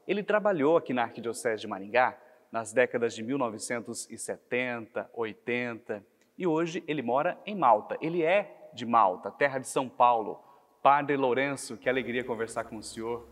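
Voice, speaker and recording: A man's voice: medium pitch, expressive, normal speed, long reverb tail. A man with a Brazilian accent interviewing a priest, asking questions in a friendly, formal way. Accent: Brazilian Portuguese.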